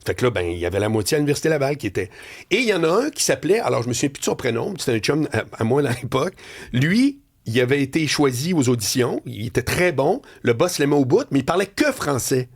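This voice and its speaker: A middle-aged man with a Quebec French accent, telling animated stories on a radio talk show and often laughing. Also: fast. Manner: storytelling, laughing, swinging pitch. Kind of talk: animated editorial chat. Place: radio studio.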